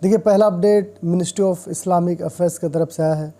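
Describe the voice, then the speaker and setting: A man's voice: sits high, fairly even intonation, unhurried, warm tone, soft background music. A man with an Indian accent presenting an informal news update, explaining things directly to viewers with examples.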